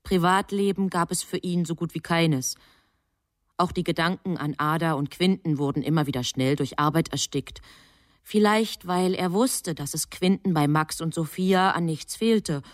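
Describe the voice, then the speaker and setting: An actress playing a composed, knowing storyteller, narrating a German radio drama in measured phrases. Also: female voice, low-pitched, no room sound, clean studio sound, natural voice. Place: studio.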